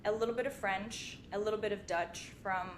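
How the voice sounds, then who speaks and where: A young woman from Syria with a medium-pitched voice talks in a casual, chatty interview style. She drops "like" into her sentences often. There is clear noise and rumble behind her. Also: steady tone, brisk. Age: 20s. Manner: chatty, informal, frequent "like". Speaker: podcast guest, finance company partner.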